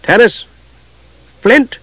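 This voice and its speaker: A man's voice: sits high, lively intonation, moderate pace, fairly bright tone, no room sound, lo-fi sound, pitched above the performer's own voice. A man voicing a small, naive, chatty kender adventurer who rambles eagerly, in a fantasy story reading. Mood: upset.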